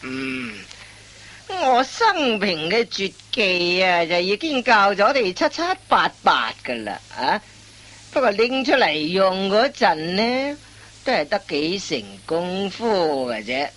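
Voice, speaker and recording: Slow, swooping veteran master thief. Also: female voice, medium pitch, sing-song, a bit bright, exaggerated voice. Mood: self-satisfied, instructive. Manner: instructive, drawn-out phrasing full of interjections. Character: wily veteran master thief schooling an apprentice. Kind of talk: Cantonese comic radio play acting.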